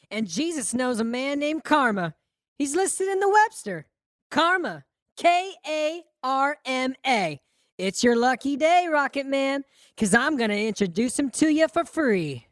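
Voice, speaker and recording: A woman voicing a vengeful, gleefully cruel boy in an audiobook, with a taunting, drawn-out delivery. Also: sits high, animated, no room sound, clean studio sound. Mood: smug taunting. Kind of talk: audiobook character acting.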